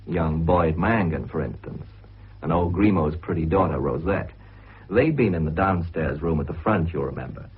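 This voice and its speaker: An actor playing a composed narrator who recounts a mystery in a radio drama, chatty, orderly and confiding. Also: male voice, low-pitched, breathy edge, heavily acted. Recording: muffled, phone-like old radio recording.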